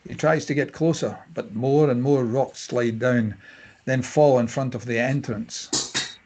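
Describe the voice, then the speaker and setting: Male narrator, raspy mid-range voice, reading screenplay action lines. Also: medium pitch, steady tone, measured pace, darkish tone. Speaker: stage-direction reader. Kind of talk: scripted narration at a table read.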